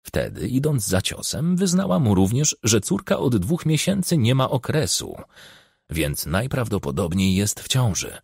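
A man's voice: medium pitch, very animated, a little slow, creaky, breathy edge, dry recording, clean studio sound. A man reading a crime novel as an audiobook narrator, telling the story expressively.

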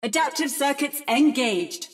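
A woman's voice: high-pitched, lively intonation, measured pace, faint music behind. A woman voicing an adaptive female robot that mimics other heroes, with precise, theatrical video game delivery. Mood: calm, synthetic.

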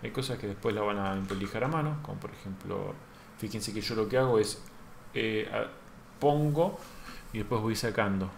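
A man, hosting a live sculpting lesson and thinking aloud as he works, with a soft voice that trails off. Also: on the low side, normal speed, creaky, echoey room, slight background noise. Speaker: host sculptor teaching.

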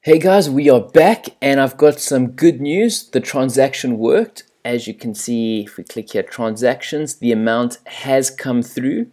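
A man's voice: medium pitch, unhurried, few pauses, a little mellow. A man with a South African accent, chatting casually and a little self-deprecatingly as he walks through a screen recording. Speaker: channel host.

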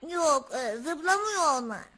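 An actress voicing a sweet, patient little puppet girl with a bright childlike voice, affectionate and coaxing, for a children's TV show. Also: sits high, expressive, slow, full character voice. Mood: mildly exasperated. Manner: coaxing, full of endearments.

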